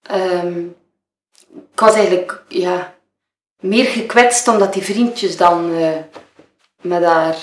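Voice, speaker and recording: A woman's voice: on the low side, fairly even intonation, takes it slowly, long pauses. A woman with a Flemish accent playing a nervous, gossipy model who gives a rambling, hesitant witness statement in an audio murder game. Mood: gossipy, hurt. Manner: hesitant, rambling, self-interrupting. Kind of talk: improvised-sounding monologue for an audio murder game. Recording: roomy, echoing room.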